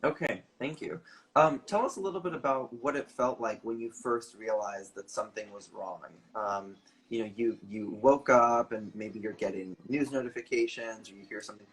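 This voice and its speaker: A man's voice: mid-range voice, moderate pace, fairly bright tone, rough recording. A man hosting a live online interview, hesitant and full of ums as he asks his questions. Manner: hesitant, many ums and restarts. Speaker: interview host.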